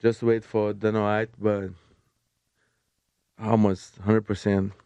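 Man, Brazilian Portuguese accent, halting English, smooth resonant tone, dry close sound. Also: on the low side, level tone, mellow, dry recording. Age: young adult. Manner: halting, restarts words, searches for English. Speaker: podcast guest, fighter. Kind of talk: casual interview chat.

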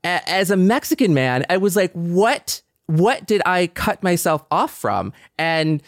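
An American man speaking reflectively and earnestly in a conversational podcast discussion, pausing and repeating words as he goes. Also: sits high, big pitch swings, no room sound, studio quality. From metropolitan Detroit, United States. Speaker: podcast panelist.

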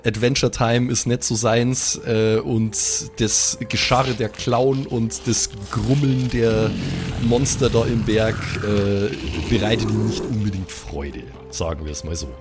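Man, creaky medium-pitched voice, joking role-play player, casual improvised narration, music behind. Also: a little mellow.

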